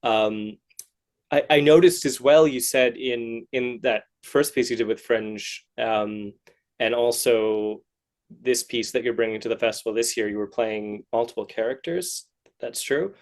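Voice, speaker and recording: A man's voice: mid-range voice, measured pace. A man hosting a casual interview in an encouraging, affirming way. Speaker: podcast host, festival worker.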